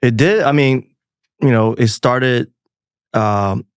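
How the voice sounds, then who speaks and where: A soft-spoken man in his forties from Taiwan, a company founder chatting as a podcast guest with many fillers. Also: medium pitch, slow, clean tone, dry recording, studio quality. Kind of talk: casual interview chat.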